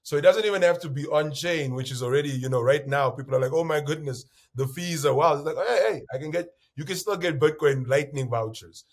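A loud-voiced man from Namibia talks in an interview about his work. He tells his stories with energy, slipping into quoted voices. His voice sits a little high for a man. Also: fairly quick, hardly any pauses. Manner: acts out bar conversations, lively quoted voices. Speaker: bitcoin entrepreneur guest. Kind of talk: animated interview storytelling.

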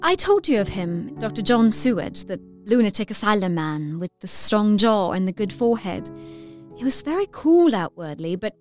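An actress playing an excitable, romantic Victorian girl of nineteen, reading her letter effusively in an audio drama. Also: mid-range voice, normal speed, faint music behind, lightly acted. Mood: confiding. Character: excitable, romantic nineteen-year-old Victorian young lady.

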